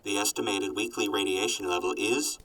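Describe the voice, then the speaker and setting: A man voicing a sardonic robot companion in an audio drama, reporting in a formal, clipped deadpan. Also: fairly low pitch, fairly quick, far from the mic, faint music behind, poor audio. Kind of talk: post-apocalyptic audio drama acting.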